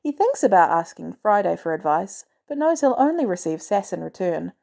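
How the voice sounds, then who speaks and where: A woman's voice: medium pitch, sing-song, normal speed, gravelly, dark tone. A woman reading a scripted story aloud as a narrator. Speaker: fan fiction podfic reader.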